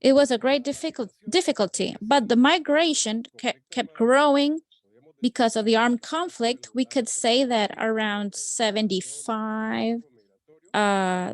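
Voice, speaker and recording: Woman, interpreter, simultaneous English, slightly high voice, halting with fillers, dry close sound, video call. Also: dry recording. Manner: halting, with frequent um fillers and restarts.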